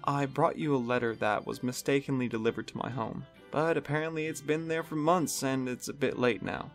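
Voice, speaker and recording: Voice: mid-range voice, steady tone, a little fast, faint music behind, close to an everyday voice. A storyteller voicing an anxious, polite young stallion pony in a fan fiction reading, hesitant and earnest.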